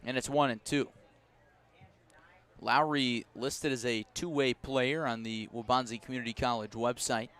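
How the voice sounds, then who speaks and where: An American man works as a baseball play-by-play announcer on live radio. His voice sits at a medium pitch. He describes the game in a measured, detailed way and lifts his delivery when the action calls for it. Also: fairly even intonation, a bit bright, clean tone. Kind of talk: live radio sports commentary.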